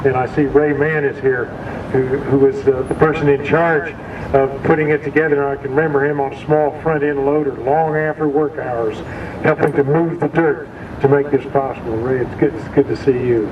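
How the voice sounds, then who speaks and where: A man's voice: mid-range voice, steady tone. Earnest man speaking at an outdoor podium. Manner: earnest, halting, with many fillers. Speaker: supporter speaking at a campaign event. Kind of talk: public speech at a podium. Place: outdoors at a memorial. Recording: distant mic, dull sound, outdoor noise.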